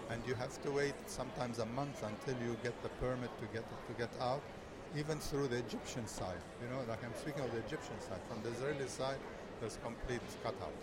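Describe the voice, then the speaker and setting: Earnest man over loud traffic. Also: medium pitch. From Nazareth. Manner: earnest, halting with many fillers and restarts. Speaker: interviewee, activist. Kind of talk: street interview. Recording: loud street traffic noise.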